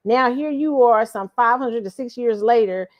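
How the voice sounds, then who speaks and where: A woman's voice: fairly high pitch, normal speed, lo-fi sound. A woman hosting her own commentary show, reacting to a clip bluntly and emphatically. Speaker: show host giving commentary.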